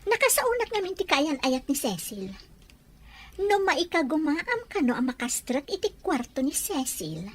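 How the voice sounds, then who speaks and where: A woman's voice: high voice, very animated, unhurried. A woman playing a pushy, plotting young schemer in an audio drama, talking insistently.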